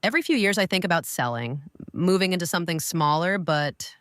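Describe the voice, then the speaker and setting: An actress playing a gracious older woman, a grandmother and retired lawyer, talking in a measured, welcoming way. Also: fairly low pitch, lively intonation, no room sound, clean studio sound, lightly acted. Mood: calm. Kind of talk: audio drama acting.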